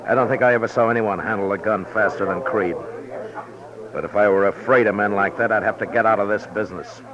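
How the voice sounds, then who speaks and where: An actor playing a weary, stoic frontier marshal in an old radio western, speaking in terse, understated lines. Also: male voice, on the low side, fairly even intonation, quick pace, crowd noise, close to an everyday voice. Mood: grim, resigned. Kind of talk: 1950s radio western acting. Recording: dull old radio drama recording.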